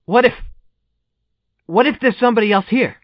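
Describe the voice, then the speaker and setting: A man's voice: very high voice, moderate pace, no room sound, dull audio. An actor in an audio drama playing a scrappy, defensive businessman who argues with indignation. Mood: nervous. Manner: indignant, argumentative. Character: defensive, scrappy male businessman nicknamed for fierce dealing.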